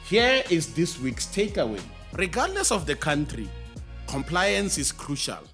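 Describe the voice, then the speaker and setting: A man's voice: fairly high pitch, very animated, unhurried, fairly bright tone, faint music behind. A South African man hosting an educational show, reading a scripted explainer in an emphatic presenter's manner with conversational asides. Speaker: host of an educational show on radio broadcasting.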